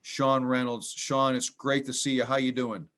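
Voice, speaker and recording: American male host, friendly but halting, lots of hesitations, video call interview. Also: medium pitch, level tone, fairly bright tone. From United States. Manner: halting, full of ums and restarts.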